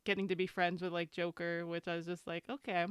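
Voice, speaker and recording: Very clear, smooth-voiced woman. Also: lowish voice, level tone, fast, no room sound, clean studio sound. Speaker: podcast panelist. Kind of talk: casual chat.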